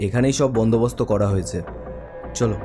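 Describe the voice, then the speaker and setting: A man playing a cocky, impatient young street thug in an animated horror cartoon. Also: on the low side, fairly quick, music in the background, lightly acted. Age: young adult. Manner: swaggering, impatient.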